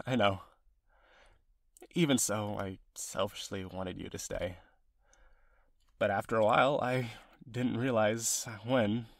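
Male actor as friendly young man, audio roleplay, expressive, hesitant, creaky, very dry recording. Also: lowish voice, dry recording. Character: friendly, sentimental young man reunited with childhood best friend. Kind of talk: ASMR-style roleplay, one side of a conversation.

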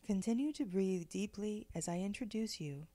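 A woman leading a guided meditation in a gentle, measured, soothing way, with pauses between phrases. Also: mid-range voice, expressive, no echo. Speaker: guided meditation leader, spiritual director.

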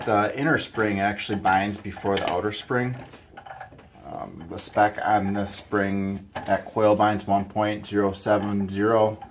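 A middle-aged man giving a casual, halting how-to narration, thinking aloud as he works with his hands. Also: fairly low pitch, steady tone, a little hiss or hum. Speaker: hobbyist mechanic demonstrating a repair. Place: home garage workshop, indoors.

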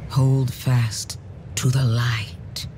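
An actor playing a stern religious zealot in a game, preaching gravely and commandingly in archaic, solemn oration. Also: male voice, mid-range voice, little pitch movement, very slow, background noise.

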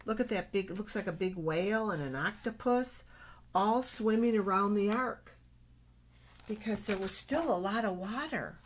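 An older woman reading a picture book aloud to young children, exclaiming and pointing things out with drawn-out words. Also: medium pitch. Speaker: storytime reader for children. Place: small office, indoors. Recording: noisy webcam recording with clicks.

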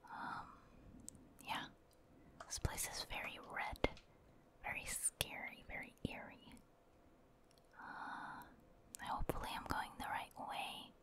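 Woman, soft breathy whisper, ASMR game commentary, casual, hesitant, musing to herself. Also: slow pace, long pauses. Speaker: ASMR gamer, video host.

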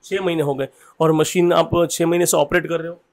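Fast, high-voiced male presenter. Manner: energetic, upbeat presenter. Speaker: company representative host. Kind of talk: on-site customer review video. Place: small bottling room and shop.

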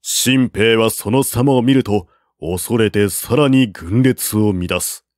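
Man narrating an old war story, real slow, dry close mic. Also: fairly low pitch, a little mellow, no echo. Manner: slow, measured reading. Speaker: audiobook narrator. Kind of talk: scripted narration of a classical war novel.

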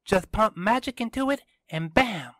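An excitable teenage girl , voiced by a male narrator in a story reading. The voice is lifted well above his own and kept dry. She argues emphatically, full of exclamation. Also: sits high, normal speed, no room sound, voice pushed up. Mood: indignant, excited.

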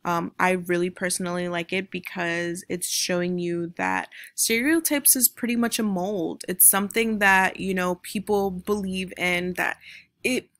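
Creaky-voiced woman teacher explaining slides. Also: fairly low pitch, steady tone, unhurried, a bit bright, no echo, clean studio sound. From United States. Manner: explanatory, frequent "um" and "right?" checks. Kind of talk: recorded online mini-lesson over slides. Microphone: computer microphone, voice-over on screen recording.

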